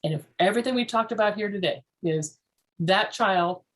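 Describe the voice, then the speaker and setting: A woman's voice: medium pitch, moderate pace. A woman hosting a parenting podcast as a family therapist, leading a group discussion and repeating phrases for emphasis.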